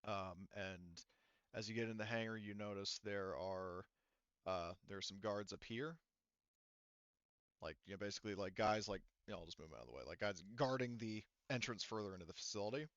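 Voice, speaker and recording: Guy game mastering a tabletop rpg, smooth lowish voice, kinda halting, slightly muffled dry recording. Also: a bit bright, no echo. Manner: informal, halting, sometimes profane. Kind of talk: casual narration of a tabletop game session. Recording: dull, muffled lo-fi sound.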